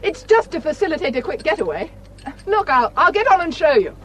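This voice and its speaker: Brisk, high-voiced country doctor. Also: female voice, very animated, a bit bright, slight background noise. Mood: breezy, fond. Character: brisk country doctor, fond of her pony. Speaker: actress in a radio drama. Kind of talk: radio play acting.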